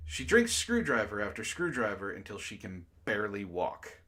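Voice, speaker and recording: A man's voice: fairly low pitch, wildly swooping pitch, moderate pace, pushed and bright. A man hosting a book club stream, reading aloud and breaking into exasperated, incredulous asides in casual jokey banter.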